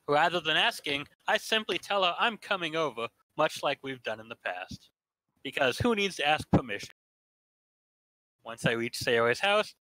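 A man's voice: on the high side, pushed and bright, dry recording, rough recording. A man reading scripted first-person narration from a visual novel as part of a group staged reading.

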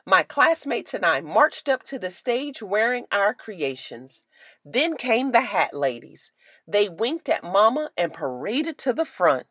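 Woman reading storybook, slow and very expressive. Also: medium pitch, dry recording, studio quality. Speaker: children's book read-aloud narrator. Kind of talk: scripted storybook narration.

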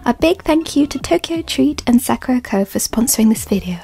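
A woman reading a sponsor segment in a soft, partly whispered ASMR style. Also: high voice, animated, a little fast, vocal fry, breathy edge, faint music behind. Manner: gentle, partly whispered, with soft tasting sounds. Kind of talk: soft-spoken ASMR ad read.

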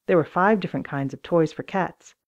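A woman's voice: fairly low pitch, brisk, highs cut off. A woman reading scripted children's story narration as an audiobook reader.

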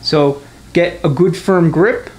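A man's voice: fairly high pitch, slow pace, darkish tone. A man giving a hands-on mechanic's tutorial in a matter-of-fact, conversational way. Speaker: transmission mechanic, instructor. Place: indoor garage workshop. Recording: echoey garage with clear background noise.

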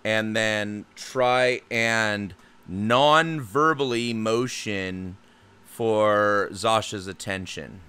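A man's voice: fairly low pitch, drawn-out words, sharp tone, clear, resonant tone. A man playing a tabletop game, hesitant with lots of fillers and restarts. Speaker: player. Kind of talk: tabletop game play, in and out of character.

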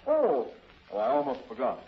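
An actor playing a brusque, easily flustered bank president with a soft heart, formal and blustery in 1930s radio style. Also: male voice, medium pitch, wildly swooping pitch, normal speed, slightly rough, heavily acted. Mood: genial, flustered. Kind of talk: 1930s radio drama acting. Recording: dull, lo-fi old radio recording.

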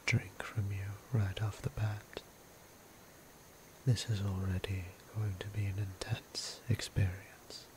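A hushed, low male voice from an actor as an ancient vampire priest in an intimate audio roleplay. He speaks slowly and evenly, half whispering, with formal, tender care for the listener. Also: noisy background. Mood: calm, reassuring. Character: gentle, courteous, centuries-old male vampire priest, tender caretaker.

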